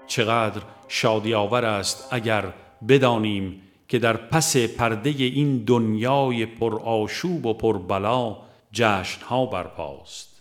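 A man narrating a spiritual audiobook in a measured, solemn way, phrase by phrase. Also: on the low side, faint music behind. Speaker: audiobook reader. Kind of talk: scripted devotional narration.

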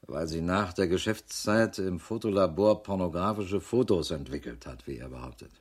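An actor playing a businesslike male police investigator in a radio crime drama, reporting in a matter-of-fact way with a husky voice. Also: low voice, moderate pace. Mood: dry, factual. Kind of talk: German radio drama acting.

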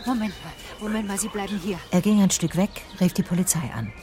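An actress playing a curious, persistent private detective in a German radio crime drama. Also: female voice, mid-range voice, fast talker, lightly acted. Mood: urgent. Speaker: narrator and lead actress. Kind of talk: German radio crime drama, first-person narration and dialogue.

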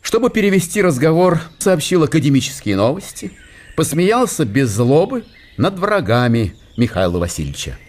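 A man narrating a literary radio drama in an expressive, wry storytelling manner. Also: a little high, moderate pace.

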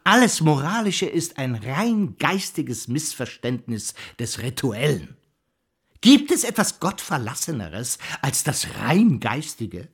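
A man's voice: on the high side, husky, exaggerated voice. An actor playing a haughty, scornful male scholar who lectures in an emphatic, mocking way in a radio drama.

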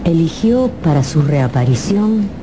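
A woman playing the solemn storyteller of a gothic radio drama, narrating in a deliberate, suspenseful way. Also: sits low, very animated, background noise. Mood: suspenseful narration. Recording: music under the narration. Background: music.

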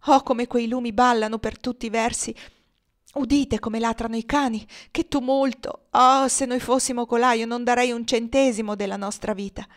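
A woman reading an audiobook, voicing a timid, frightened young woman who pleads in quick exclamations. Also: on the high side, steady tone, voice pushed up, lightly acted.

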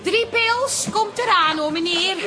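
A woman acting a brisk, down-to-earth landlady in a radio comedy, fond but bossy and quick to exclaim. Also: very high-pitched. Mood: brisk, busy.

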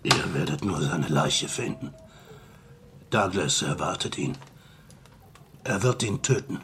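An actor playing a bitter, remorseful villain in a German radio play, with a gravelly voice and a slow, halting delivery. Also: male voice, low voice, lively intonation, fairly bright tone, heavily acted. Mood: grim. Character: dying, remorseful villain confessing, scornful and bitter.

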